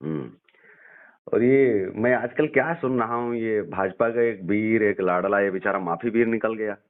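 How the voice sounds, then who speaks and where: Very deep-voiced man on a phone line. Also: a little slow. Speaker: news channel head interviewing a politician. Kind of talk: informal phone interview, conversational questioning. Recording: narrow-band recorded phone call.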